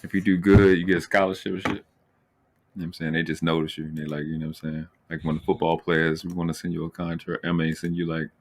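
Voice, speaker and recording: A man with a deep voice hosts a livestream. He talks in loose, slangy phrases, addressing guests and viewers conversationally as the show's host. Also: level tone, quick pace, slight background noise. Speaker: live show host. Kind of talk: casual livestream chat.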